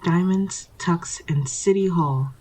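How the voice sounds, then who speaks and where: A woman's voice: lowish voice, slow pace, a little mellow, rough recording. A woman voicing a prim, theatrical storybook storyteller who gets exasperated when interrupted. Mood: storybook sing-song. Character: prim storyteller reading a fairy tale, exasperated.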